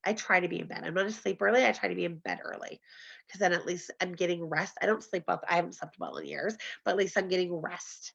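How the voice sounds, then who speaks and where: A woman's voice: lowish voice, fairly even intonation, fast talker, gravelly, a bit bright. Chatty woman on a dull video call. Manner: chatty, laughs often. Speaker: host. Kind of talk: casual group video chat.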